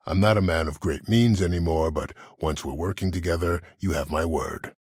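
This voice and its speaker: An actor playing a disgraced, ruthless older military commander in a video game, speaking in a measured, formal, imperious and calculating way. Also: male voice, low-pitched, darkish tone, husky, dry recording. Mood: smooth, persuasive. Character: disgraced ruthless old military commander, coldly self-justifying schemer. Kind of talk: dramatic game dialogue.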